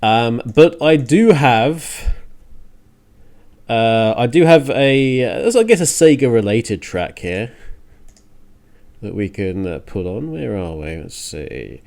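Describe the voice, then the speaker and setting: A man's voice: mid-range voice, big pitch swings, slow pace, vocal fry, pauses often, darkish tone. A man hosting a music radio show, rambling casually and thinking aloud with hesitant digressions.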